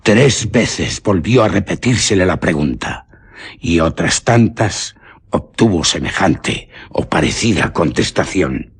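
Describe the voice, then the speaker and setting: A man narrating a suspense radio story in a solemn, deliberate manner. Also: deep voice, big pitch swings, slightly rough.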